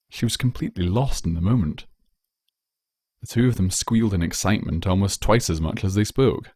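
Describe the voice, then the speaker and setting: A man narrating a written story in an expressive storytelling style. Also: lowish voice, dry recording, studio quality. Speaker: narrator reading fan fiction.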